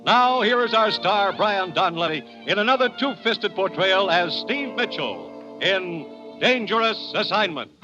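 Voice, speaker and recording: A man's voice: high-pitched, expressive, unhurried. A man with an American accent announcing a 1950s radio drama in a theatrical, portentous manner. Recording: muffled old radio broadcast with music. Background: dramatic music.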